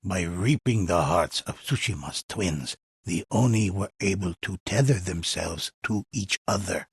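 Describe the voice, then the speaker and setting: An actor playing a grave old male storyteller in a game, narrating a dark legend in a solemn, ominous manner with a gravelly, husky voice. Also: low-pitched, no room sound, studio quality, full character voice. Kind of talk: dramatic scripted game narration, folk-tale telling.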